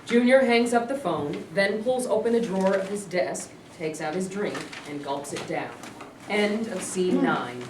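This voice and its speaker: A woman's voice: mid-range voice, a little slow, a little mellow. A woman reading stage directions as scripted narration at a staged reading. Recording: echoey room, distant mic, clear noise.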